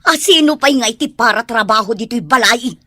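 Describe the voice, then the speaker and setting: A woman's voice: fairly high pitch, animated, a little fast, pushed and bright, no room sound, clean studio sound. A woman playing an irritable, demanding, spoiled woman in an Ilocano radio drama. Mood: irritated.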